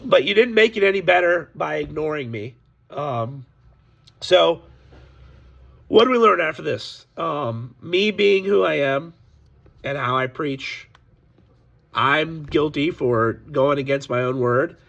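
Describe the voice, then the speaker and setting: A hoarse man talking candidly to his viewers in a casual vlog, complaining about a deal and warning them. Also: on the high side, lively intonation, takes it slowly, long pauses, a bit bright, highs cut off. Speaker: sports card seller. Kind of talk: casual direct-to-camera vlog.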